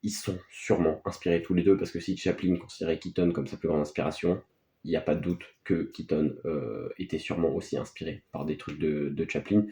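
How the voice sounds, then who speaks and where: A man's voice: rumbling low, brisk, mellow. A man gives casual, unscripted film commentary as a video host, in a hesitant, rambling, offhand way. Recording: echoey, roomy sound.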